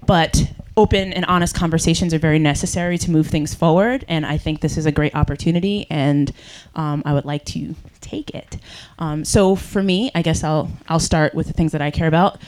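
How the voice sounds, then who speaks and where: A woman's voice: sits low, a little mellow. A woman moderating a panel discussion in front of an audience, speaking earnestly into a handheld microphone with frequent ums. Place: event room with audience.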